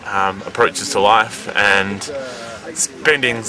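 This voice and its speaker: Man, outdoor interview, measured with fillers, lowish voice, off-mic, crowd noise. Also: steady tone. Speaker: interviewee at a scout event. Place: outdoor camp. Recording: distant mic with a crowd behind.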